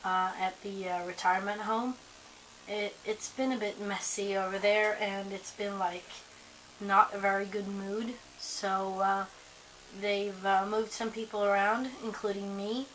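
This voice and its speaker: A young woman with a hoarse voice from a cold, chatting casually to camera at home, rambling with lots of ums and likes. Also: medium pitch, little pitch movement, unhurried, a bit bright, a little hiss or hum, poor audio. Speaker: vlogger.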